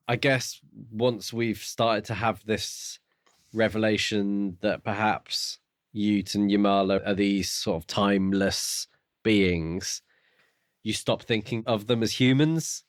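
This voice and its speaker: A man's voice: on the low side, measured pace, no echo, studio quality. A man co-hosting a podcast, musing and thinking aloud in a casual discussion of books. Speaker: podcast co-host.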